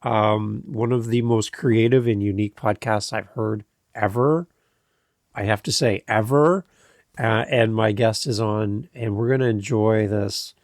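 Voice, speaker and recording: A man's voice: lowish voice, steady tone, unhurried, no room sound, clean studio sound. A man hosting a podcast, speaking in an emphatic, jokey, effusive conversational way. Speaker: podcast host. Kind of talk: enthusiastic conversational interview intro.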